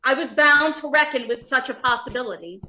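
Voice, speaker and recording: A woman's voice: sits high, brisk, pushed and bright, muffled recording, slight background noise. A woman acting a defiant, guilt-ridden scientist in an old radio drama, emphatic and declamatory. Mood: defiant, earnest.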